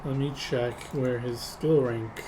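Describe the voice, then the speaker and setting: Halting male game master over background noise. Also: medium pitch, fairly even intonation. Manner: halting, stops to check notes. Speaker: game master of a tabletop roleplaying game. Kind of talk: improvised tabletop roleplay.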